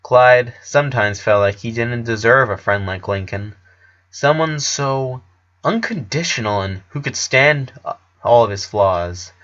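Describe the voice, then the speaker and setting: A man reading a story aloud as narrator, in an expressive storytelling style. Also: medium pitch, unhurried, highs cut off. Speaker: narrator of a fan fiction story.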